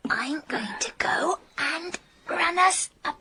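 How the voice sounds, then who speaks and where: An actress playing a lovestruck, clingy woman in a radio drama, speaking in a cooing, deliberate manner. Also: very high voice, lively intonation, noisy background, exaggerated voice. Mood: adoring. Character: lovestruck, clingy woman set on marrying a baronet.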